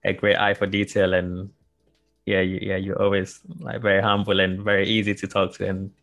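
Low-voiced hesitant podcast host man. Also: monotone, normal speed, fairly bright tone. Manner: hesitant, repeats words, many fillers. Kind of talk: podcast interview.

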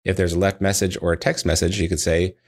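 A man narrating a scripted training lesson in a measured, explanatory way, as if reading from prepared text. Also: sits low, little pitch movement, a little mellow, husky. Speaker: dental practice trainer.

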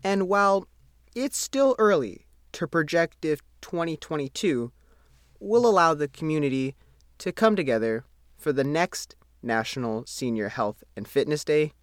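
A man's voice: on the high side, animated, unhurried, clean tone, dry recording, studio quality. An American man reading a scripted local news report as the reporter. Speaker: newspaper reporter reading own article.

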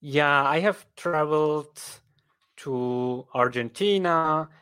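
Slow, halting Swiss German man. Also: a little high, fairly bright tone, clear, resonant tone. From Switzerland. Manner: halting, with frequent restarts and "right?" tags. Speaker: podcast guest. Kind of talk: casual interview chat.

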